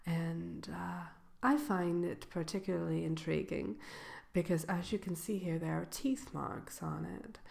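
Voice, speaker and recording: A woman playing a polite, slyly malicious wicked witch selling brooms in a soft, hesitant, unhurried ASMR roleplay. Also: lowish voice, fairly even intonation, creaky. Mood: genteel, unhurried. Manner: hesitant, unhurried, genteel shopkeeper patter. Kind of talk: ASMR roleplay, soft-spoken and partly whispered.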